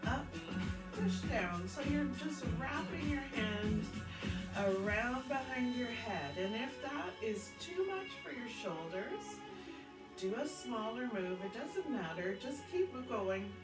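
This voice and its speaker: A woman's voice: fairly high pitch, big pitch swings, slow. A woman from Canada, stuffed up and congested, chatting through a guided chair exercise class and counting reps. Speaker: fitness instructor. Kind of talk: guided chair workout instruction. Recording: roomy, from a distance, loud music. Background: loud upbeat music.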